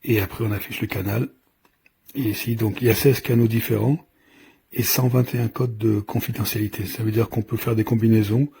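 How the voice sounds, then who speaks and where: A man's voice: on the low side, steady tone, a little fast, vocal fry, warm tone, breathy edge. A man giving an informal hands-on product demonstration, explaining in a hesitant, improvised way as he goes. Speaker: product reviewer.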